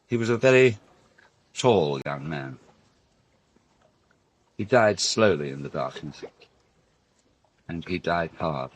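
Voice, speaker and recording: An actor playing a grave man recounting a grim wartime story in a radio drama, with measured, deliberate phrasing and dramatic pauses. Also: low voice, lively intonation, breathy edge, full character voice.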